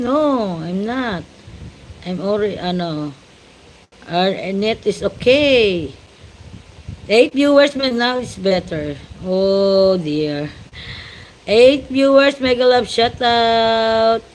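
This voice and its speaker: Filipino woman streamer, leisurely shout-out style, faint hiss behind. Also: on the high side, animated, takes it slowly, low-quality recording. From Philippines. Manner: greets viewers with repeated shout-outs, mixing Tagalog and English. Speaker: livestream host. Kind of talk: casual livestream chat.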